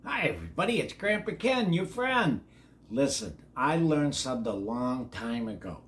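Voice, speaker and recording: An older man reading a children's story aloud in a folksy, grandfatherly, halting way, with chatty asides to the kids. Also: medium pitch, big pitch swings, fairly bright tone.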